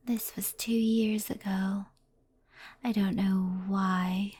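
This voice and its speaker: A woman playing a gentle, wistful fox spirit in an ASMR roleplay, speaking softly and often in a whisper, close to the microphone. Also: mid-range voice, dry recording. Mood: somber, hushed. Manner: soft-spoken, often whispery, unhurried explaining. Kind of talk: ASMR roleplay, soft storytelling. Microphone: close headphone ASMR microphone.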